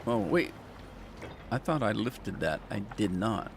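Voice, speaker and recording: A man's voice: lowish voice, very animated, unhurried, creaky, a little hiss or hum. A man giving casual, rambling commentary while playing a farming game, chatting with viewers and joking in asides. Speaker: gaming channel host.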